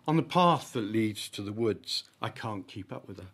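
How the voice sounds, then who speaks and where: A man with a fairly low voice reads his own short story at a live literary event. His phrasing is deliberate and emphatic, timed for wry comic effect. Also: animated, fairly bright tone, slightly rough. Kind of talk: live dramatic story reading.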